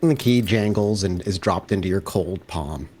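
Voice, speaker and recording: A man working as game master, narrating a tabletop roleplay in deliberate, cinematic phrases. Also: deep voice, darkish tone, husky.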